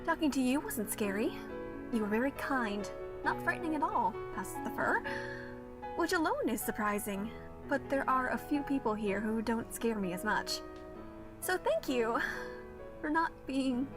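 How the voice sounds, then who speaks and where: A woman playing a high-voiced, timid, kindly counselor. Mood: nervous, grateful. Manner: gentle, hesitant, stammering. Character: kind, timid female school counselor. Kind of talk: animated cartoon fandub.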